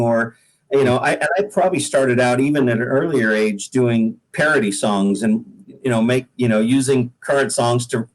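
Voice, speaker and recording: A man's voice: mid-range voice, rough recording. A middle-aged American man, a singer-songwriter chatting casually as a guest in a folksy, joking, rambling way. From Pacific Northwest, United States. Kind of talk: casual podcast interview chat.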